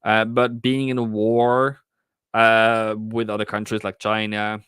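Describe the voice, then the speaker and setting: A man's voice: fairly low pitch, monotone, measured pace, fairly bright tone, clean tone, no room sound, clean studio sound. A man with a Norwegian accent, hosting a live trading stream, thinking aloud with many restarts and fillers and reading out chat. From Norway. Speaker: trading livestream host. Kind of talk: casual livestream commentary.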